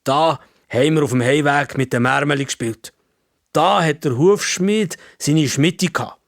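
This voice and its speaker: A man voicing a curious, easily flustered old-fashioned man from the past in a children's story, with a Swiss accent and halting, repetitive phrasing. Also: a little high, creaky, no room sound, studio quality. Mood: searching, remembering.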